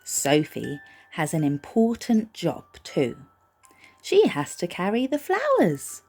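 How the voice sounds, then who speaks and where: A woman reads a picture book aloud expressively, gently reassuring young listeners and putting questions to them. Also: fairly low pitch, wildly swooping pitch, slow pace, slightly rough, soft background music. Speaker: storybook reader for young children.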